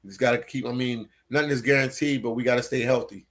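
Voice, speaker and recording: A man's voice: medium pitch, fairly even intonation, fast, fairly bright tone. A man joining a live stream as a guest over a video call, laid-back and agreeable, often answering with short affirmations. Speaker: guest YouTuber. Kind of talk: casual livestream chat. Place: abroad, remote call.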